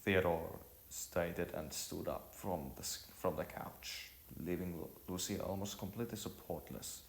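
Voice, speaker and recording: A man narrating an audio story in a halting way, often repeating phrases. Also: low voice, vocal fry, a little hiss or hum. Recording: echoey room with music underneath. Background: music.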